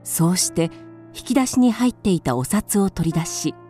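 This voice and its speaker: Narrator: composed woman, lowish warm voice, scripted storytelling for radio drama. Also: animated, normal speed, faint music behind.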